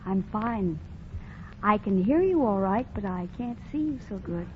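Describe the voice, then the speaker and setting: A woman's voice: mid-range voice, lively intonation, unhurried, natural voice. An actress playing a tired young working mother in a 1940s radio drama who talks in a dry and sardonic way. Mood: weary. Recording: muffled 1940 radio broadcast, faint hiss.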